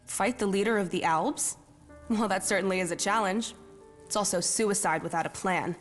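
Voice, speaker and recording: A woman's voice: medium pitch, moderate pace, natural voice. Woman acting a cynical game mercenary, cocky, blunt, long reverb, music underneath. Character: brash, cynical female mercenary hungry for challenge. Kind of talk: video game character dialogue.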